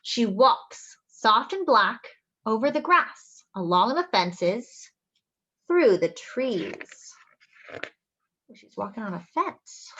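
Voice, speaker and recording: A woman hosting story time, reading a picture book aloud to young children in an expressive, sing-song way, with drawn-out phrases and little asides and questions. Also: on the high side, lo-fi sound.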